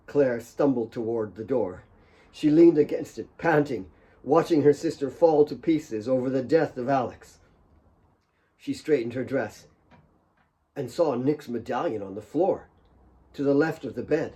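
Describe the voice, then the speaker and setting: A man's voice: mid-range voice, mellow. A man reading his own fiction as a dramatic audiobook narrator.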